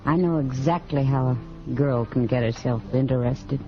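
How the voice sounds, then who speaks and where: A woman's voice: extremely low pitch, moderate pace. An actress playing a knowing, teasing frontier woman in an old radio Western, wry and familiar in manner. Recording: dull vintage radio recording with music.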